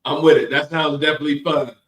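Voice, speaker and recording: A man's voice: fairly high pitch, fairly even intonation, quick pace, fairly bright tone. Joking podcast host man, roomy sound. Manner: joking, hypes upcoming shows. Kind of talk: casual podcast chat. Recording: roomy, distant mic.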